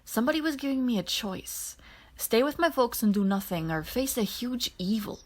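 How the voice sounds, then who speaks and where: A woman's voice: fairly high pitch, lively intonation, normal speed, voice pushed up. A woman voicing a groggy young male space hero with a dramatic streak, in an audiobook. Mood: groggy, earnest.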